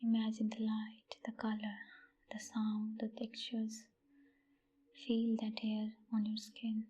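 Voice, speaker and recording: Slow, hushed woman guiding meditation. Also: on the high side, monotone, dull audio. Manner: hushed, breathy, near-whispered, gentle delivery with long pauses. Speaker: meditation guide, channel host. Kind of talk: guided meditation, soft-spoken.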